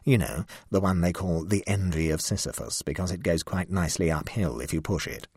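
A haughty talking cat speaks with icy, unbothered calm, put on by a man reading a story. The voice sits low and a little breathy, with a dry, close sound. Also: brisk, dry recording. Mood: cold disdain. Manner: frigid, indifferent, deadpan. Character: aloof male talking cat, cold and superior.

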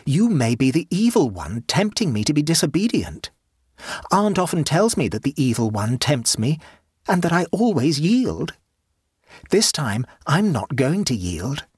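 Man voicing a clever, cheeky storybook boy; lifted pitch, sly innocence. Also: very animated, a little slow, darkish tone, husky, no room sound, clean studio sound, exaggerated voice. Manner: innocent but sly, gleeful.

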